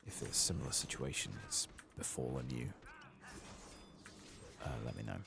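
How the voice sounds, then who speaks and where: A soft-spoken man doing an ASMR game playthrough, hushed and sometimes whispering, musing in a reflective, hesitant way as he plays, close to the microphone. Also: a bass voice, animated, normal speed, vocal fry, background noise. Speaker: ASMR gaming video host.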